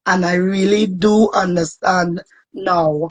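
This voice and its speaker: A woman's voice: lowish voice, fairly even intonation, takes it slowly, low-quality recording. A woman with a Trinidadian accent speaking earnestly and reflectively as a guest in a casual interview. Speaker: soca singer guest.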